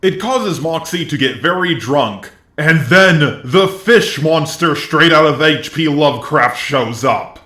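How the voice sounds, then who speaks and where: A man's voice: on the high side, animated, takes it slowly, hardly any pauses, bright, forceful tone, roomy sound. A man's synthetic robot voice narrating a scripted review with sarcastic, profane asides. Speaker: video reviewer.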